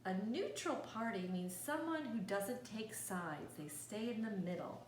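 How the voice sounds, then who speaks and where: A woman's voice: mid-range voice, very animated, unhurried. A woman reading a picture book aloud to young children, with gasps, exclamations and little asides explaining words. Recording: roomy echo, faint background noise.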